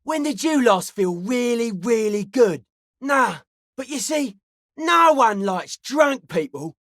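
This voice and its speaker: A man's voice: extremely high pitch, big pitch swings, slow, fairly bright tone, dry recording, clean studio sound, heavily acted. A man playing an intense young hardcore raver in a video game, with a slangy, preachy, grandiose way of talking. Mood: cocky, persuasive. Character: intense young male hardcore raver, drug-loving, anti-authority philosopher. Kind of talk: video game character dialogue.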